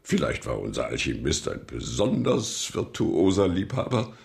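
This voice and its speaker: A man's voice: a bass voice, sing-song, moderate pace, creaky, a little mellow, exaggerated voice. A man playing a worldly, sceptical court president in a radio play, with a theatrical, pompous, musing manner. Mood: musing, evasive. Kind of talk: German radio play acting.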